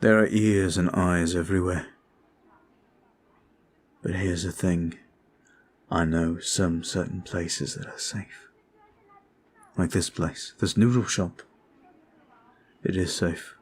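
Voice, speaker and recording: A man voicing a brooding male vampire who is weary and guilt-ridden, talking slowly and softly in an ASMR roleplay. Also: low voice, steady tone, a little hiss or hum. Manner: slow, soft, confiding. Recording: faint music behind.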